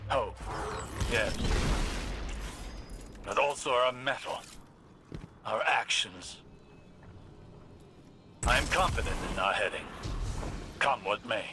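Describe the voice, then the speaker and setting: Older stoic wise man in a video game, formal, unhurried, noisy ambience behind him. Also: medium pitch, pushed and bright. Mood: resolute. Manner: grave, formal, deliberate. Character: stoic older male sage, weary yet resolute. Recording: clear background ambience noise.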